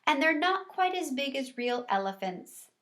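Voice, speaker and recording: A woman's voice: sits high, sing-song, normal speed, a bit bright, pitched above the performer's own voice. A woman voicing a kindly, practical female primary school teacher in a children's storybook, patiently explaining. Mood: patient, amused.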